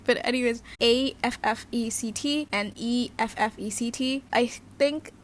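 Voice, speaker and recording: Young female host, Indonesian native speaker, giggly casual chat, slightly high voice. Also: measured pace, slight background noise. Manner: chatty, giggly, many fillers and restarts. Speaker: channel host.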